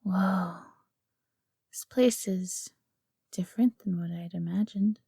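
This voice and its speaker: A woman as a breathy, timid young fox girl. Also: medium pitch, steady tone, slow pace, mellow, dry recording, studio quality, exaggerated voice. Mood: curious, helpful. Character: young fox girl, timid, eager, grateful former servant. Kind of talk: scripted audio roleplay addressed to the listener.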